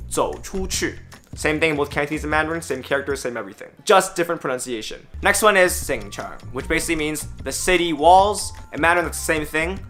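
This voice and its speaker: A man's voice: fairly high pitch, pushed and bright. A man hosting a casual language lesson, explaining words one by one, upbeat and dropping wry joking asides. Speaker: language teacher and host.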